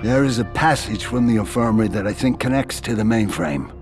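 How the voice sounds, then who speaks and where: An actor playing a matter-of-fact, streetwise prison fixer in a video game, casual and laconic. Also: male voice, fairly low pitch, lively intonation, a little fast, darkish tone, soft background music, natural voice. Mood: businesslike.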